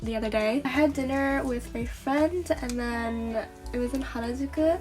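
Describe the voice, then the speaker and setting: A young woman talks to her camera in a breezy, animated way, full of "like"s, her voice a touch nasal from a cold. She sits a little high in pitch. Faint music plays beneath her. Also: normal speed. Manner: chatty, animated storytelling with many fillers. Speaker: vlogger. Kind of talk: casual vlog chat.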